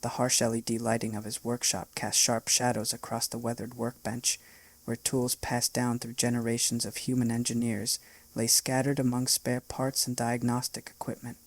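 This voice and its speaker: A man narrating a science fiction short story in a steady, scripted read. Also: medium pitch, normal speed, no echo.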